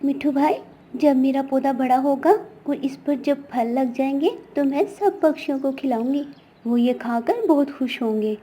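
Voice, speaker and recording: A kind cartoon sparrow, voiced by a woman, with a high, soft-edged tone. She is female, polite and gentle. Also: moderate pace, slight background noise, lightly acted.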